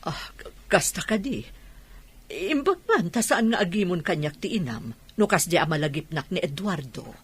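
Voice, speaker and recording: A woman playing a tender, hesitant older trainer and long-lost sweetheart in a Philippine radio drama, with a husky voice. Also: fairly low pitch, very animated, normal speed, full character voice. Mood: wistful, tender.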